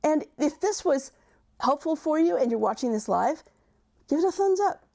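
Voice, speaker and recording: A middle-aged woman with a raspy voice from recent illness, a career coach giving conversational livestream advice and often repeating words as she thinks. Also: sits high, animated, moderate pace, mellow.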